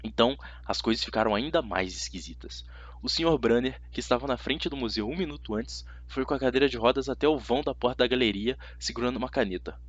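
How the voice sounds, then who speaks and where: A man's voice: mid-range voice, fast talker, no echo, muffled recording. A man with a Brazilian accent narrating a story dramatically in the first person, as an amateur audiobook reader.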